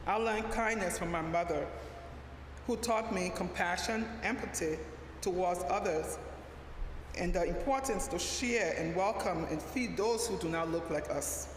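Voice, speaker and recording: Woman reading a sermon, echoing hall. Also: lowish voice. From Liberia. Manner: measured, deliberate reading with occasional restarts. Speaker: lay preacher, social worker. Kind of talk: sermon read from a prepared text. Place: church sanctuary. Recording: echoey church hall, faint background hum.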